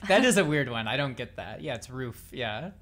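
Very low-voiced American woman, chatty host. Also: very animated, moderate pace. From Saint Paul, Minnesota, United States. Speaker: music show host interviewing a guest. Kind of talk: casual chat.

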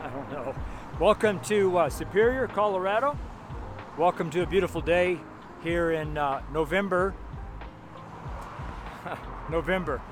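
A seventy-year-old man with a fairly high voice preaches in a loose, folksy way, wandering from thought to thought. He speaks outdoors, with faint traffic hum behind him. He chuckles to himself now and then. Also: a little slow, long pauses. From United States. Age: 70s. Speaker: street preacher. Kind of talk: casual outdoor sermon. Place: outdoors by a road with passing cars.

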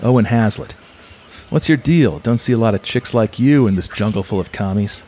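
An actor plays a macho, hard-boiled male mercenary telling his story in clipped pulp slang. His voice sits fairly low, with the swagger of a radio-play tough guy. A light bed of hiss and insects runs behind it. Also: moderate pace, a little mellow, lightly acted. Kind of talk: pulp radio-play first-person narration.

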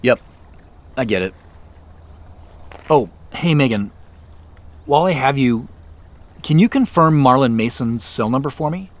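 An actor playing a thoughtful, wry and slightly weary business leader in a naturalistic audio drama, speaking in a low-key, halting way. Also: male voice, animated, close to an everyday voice. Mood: reluctant.

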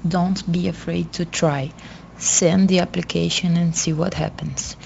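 Gravelly young woman, dull audio. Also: lowish voice, little pitch movement, measured pace, darkish tone. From Brazil. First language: Portuguese. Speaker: guest student. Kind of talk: answering interview questions. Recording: dull lo-fi audio, faint noise.

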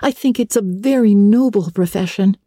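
Woman acting an eager, gushing, high-voiced schoolgirl. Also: normal speed, mellow, dry recording, clean studio sound, natural voice. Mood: blissful, earnest. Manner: gushing, dramatic, effusive. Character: eager, talkative, earnest orphan schoolgirl. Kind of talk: dramatic audiobook reading of a classic novel.

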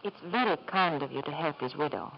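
Poised, dry secretary, muffled old radio. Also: female voice, low voice, animated, fairly quick. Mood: formal, reserved. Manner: poised, dry, crisply formal. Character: cool, clever, discreet young female secretary. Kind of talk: 1950s radio drama acting. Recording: dull, faintly hissy 1950s radio recording.

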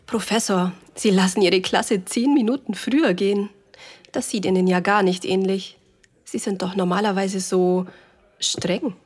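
Woman acting a coy, husky young student. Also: medium pitch, fairly even intonation, a little slow, darkish tone. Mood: coy, teasing.

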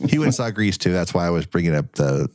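A man's voice: low-pitched, very animated, fast, a little mellow, no echo, studio quality. A man co-hosting a casual podcast, congested from a lingering cough, loose and profane, laughing often. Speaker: podcast co-host. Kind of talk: casual chat.

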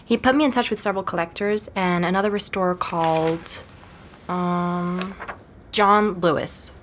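An actress playing a curious, self-assured investigator in a naturalistic audio drama. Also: female voice, lowish voice, moderate pace, background noise, close to an everyday voice. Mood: thoughtful, casual. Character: curious, self-assured female investigator reporting her findings.

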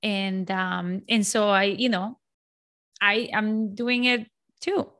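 A woman's voice: mid-range voice, fairly even intonation, slow pace, fairly bright tone. A woman from Colombia in her forties, a guest talking in an outgoing, chatty way with many fillers and restarts. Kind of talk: casual interview chat.

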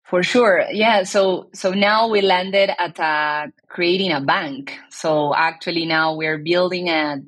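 A woman's voice: deep voice, a bit bright. A woman, a startup founder speaking earnestly as an interview guest and often restarting her sentences. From Argentina. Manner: earnest, searching for words with many restarts. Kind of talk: casual conversational interview.

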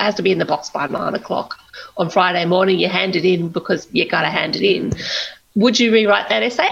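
A woman speaks as a novelist guest, chatty and self-deprecating, with a voice that often slips into gravelly fry. Her audio is dull and lo-fi. She talks candidly and laughs easily. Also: lowish voice, a bit bright. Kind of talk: candid conversational interview.

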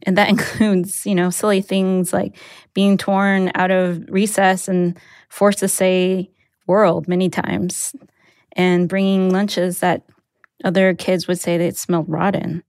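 A young adult woman, raised speaking Mandarin first, telling a personal story in a candid, reflective way with soft laughs. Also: lowish voice, flat delivery, slow, no echo, clean studio sound. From United States. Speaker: interviewee, newsletter writer.

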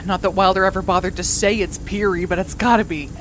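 A woman's voice: fairly even intonation, fast, lightly acted. A woman playing a tired solo traveler driving across the moon, muttering informally to herself. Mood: weary, restless. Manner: muttering, self-talking, informal. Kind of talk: audio drama acting. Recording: loud vehicle engine noise. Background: rumbling vehicle.

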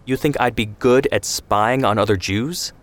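A man's voice: mid-range voice, moderate pace, voice pushed up, close to an everyday voice. A man voicing an idealistic young man who argues with rising outrage. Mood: incredulous. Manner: outraged, pleading.

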